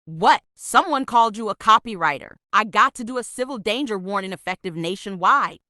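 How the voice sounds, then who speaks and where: A woman's voice: medium pitch, lively intonation, a little fast, pushed and bright. A woman talking in a casual phone conversation.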